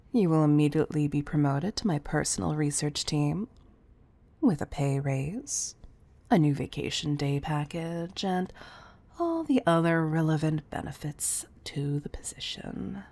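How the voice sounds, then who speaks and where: A woman's voice: sits low, warm tone, dry recording, heavily acted. A woman playing a condescending billionaire CEO who gives commanding tough love in an intimate audio roleplay.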